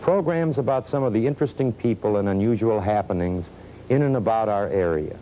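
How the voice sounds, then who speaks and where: A middle-aged American man giving a scripted sponsor address as a bank executive, on an old rumbling videotape. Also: expressive, a little fast, dark tone, a little hiss or hum, poor audio.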